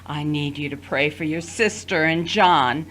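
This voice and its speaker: A woman's voice: low voice, unhurried, fairly bright tone. A woman acting in an audio drama as a middle-aged mother, motherly and loving with a dry, hesitant manner. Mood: worried. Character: worried, dryly loving middle-aged mother of grown children.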